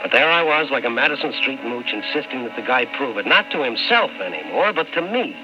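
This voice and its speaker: A man's voice: medium pitch, big pitch swings, a little fast, over music, lightly acted. An actor playing an impatient, tough, sceptical city man in an old-time radio drama, brusque and insistent. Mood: uneasy narration. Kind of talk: old-time radio drama acting with first-person narration. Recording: muffled, noisy old-time radio recording.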